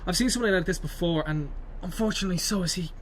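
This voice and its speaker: Actor as worried, loyal young man, higher-pitched. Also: very fast, slight background noise, lightly acted. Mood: urgent, taking charge. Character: worried loyal young male friend, steady in a crisis. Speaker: radio drama actor. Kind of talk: naturalistic radio drama acting.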